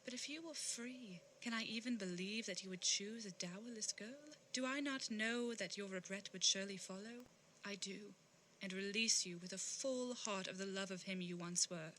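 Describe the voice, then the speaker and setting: A woman acting as a sorrowful, dignified young fiancée in an audio drama, earnest, measured and wounded. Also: medium pitch, bright, forceful tone, slight background noise, close to an everyday voice, low-quality recording. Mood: resigned sorrow.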